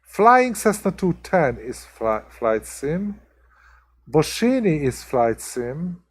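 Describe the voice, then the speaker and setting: Chatty Hungarian-accented streamer. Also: male voice, fairly high pitch, very animated, slow, husky. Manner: chatty, talks to viewers by name, many ums and restarts. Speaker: livestream host. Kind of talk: casual chat with live viewers.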